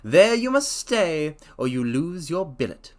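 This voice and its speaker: A man voicing a formal older employer who speaks in a slow, measured, stately way. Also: a little high, big pitch swings, a little mellow. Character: formal older male employer, pompous and deliberate.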